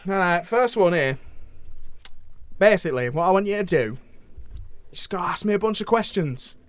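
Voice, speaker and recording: A man's voice: high-pitched, lively intonation, moderate pace, no echo, voice pushed up. A man voicing a cheeky northern English voice actor who is blunt and keeps calling everyone mate, with a Northern English accent.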